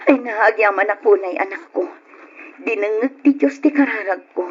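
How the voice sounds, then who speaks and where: A woman's voice: medium pitch, moderate pace, husky, muffled recording, close to an everyday voice. A woman with an Ilocano accent playing a poor, worn-down, pleading mother in an old radio drama. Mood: grateful.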